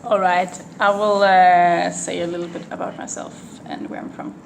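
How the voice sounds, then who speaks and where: A Danish woman in her twenties speaks English with a Danish accent and a fairly low voice. She answers interview questions thoughtfully, pausing with ums and restarting her sentences. A clear hum of background noise sits under the recording. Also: flat delivery. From Denmark. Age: 20s. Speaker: interviewee, exchange student. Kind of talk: casual interview conversation.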